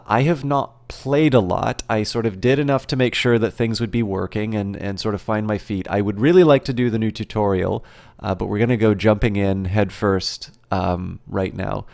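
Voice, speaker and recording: A man hosting a live game stream in casual chat, his voice slightly rough after being sick, talking in a halting, rambling way as he thinks aloud and greets viewers. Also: fairly low pitch, a little mellow. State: getting over a recent illness.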